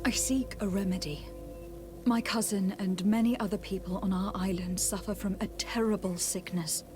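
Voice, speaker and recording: A woman's voice: mid-range voice, fairly even intonation, normal speed, music in the background, natural voice. An actress playing a courteous, composed young noblewoman diplomat in a fantasy video game, polite and formal. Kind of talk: video game dialogue acting.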